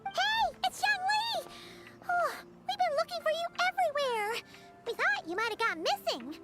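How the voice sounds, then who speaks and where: An actress playing a tiny, childlike, excitable fairy companion in a video game, squeaky and exclaiming. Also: female voice, moderate pace, loud music behind the voice. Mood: excited, relieved. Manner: squeaky, exclaiming, refers to self by name.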